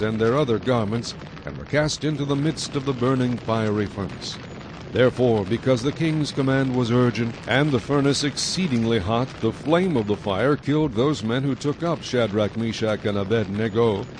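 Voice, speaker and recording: Male scripture narrator over noisy ambient effects. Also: medium pitch.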